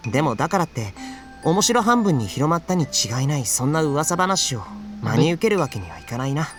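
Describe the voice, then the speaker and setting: Earnest, lively-pitched gentle schoolboy. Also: male voice, rapid-fire, over music. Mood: earnest conviction. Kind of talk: Japanese visual novel voice acting.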